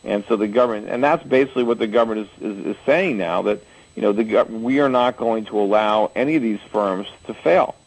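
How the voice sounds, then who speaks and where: A man's voice: on the low side, brisk, slightly rough, low-quality recording. A man in his forties hosting a call-in radio show, answering callers at length with homely analogies and frequent restarts. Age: 40s.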